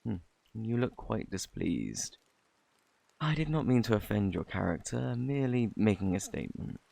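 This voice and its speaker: A man with a British accent playing a flirty, eccentric fortune teller in a fantasy ASMR roleplay, with a theatrical, boastful, easily flustered manner and a husky, gravelly voice. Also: fairly low pitch, big pitch swings, normal speed, mellow, exaggerated voice. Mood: mystical, assured. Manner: theatrical, flustered, coaxing, old-fashioned phrasing. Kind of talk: ASMR roleplay, intimate scripted acting.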